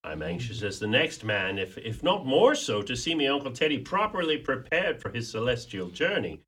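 An actor playing a scheming, impatient Irish nephew in a radio play, with a husky voice and a theatrical, protesting manner. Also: male voice, medium pitch, sing-song, normal speed, fairly bright tone. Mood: impatient, insistent.

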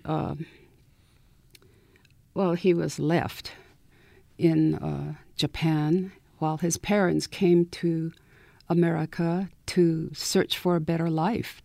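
A woman in her eighties from southern California, telling her story slowly and reflectively as a guest on a radio interview. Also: lowish voice, gravelly, warm tone, no echo, studio quality. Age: 80s. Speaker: author and guest.

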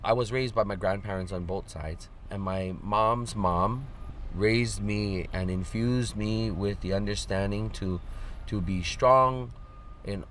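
Male guest from Oahu, low-pitched, earnest and measured. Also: a bit bright, slight background noise. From Oahu, Hawaii. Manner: measured, earnest, lists things in series. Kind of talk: reflective personal account.

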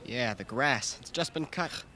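Actor as deadpan baseball skipper over noise. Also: male voice, mid-range voice, a little slow, natural voice. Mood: sour, sarcastic. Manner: dry, deadpan wisecracks. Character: sour, sarcastic American baseball manager. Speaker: student actor. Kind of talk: radio comedy acting.

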